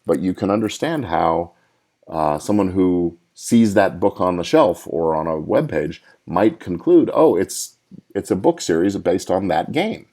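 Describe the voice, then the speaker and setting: A man's voice: low-pitched, animated, a little slow, warm tone. A middle-aged man hosting a podcast, giving casual legal advice and thinking aloud as he goes. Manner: conversational, thinking aloud with restarts. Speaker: podcast host and entertainment lawyer. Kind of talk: casual live advice talk.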